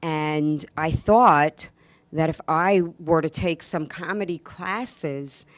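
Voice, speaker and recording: New lady w a deep voice chatting in an interview, really emphatic, no room echo. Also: takes it slowly. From New York, USA. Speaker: guest, actress and stand-up comedian. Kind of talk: casual interview chat.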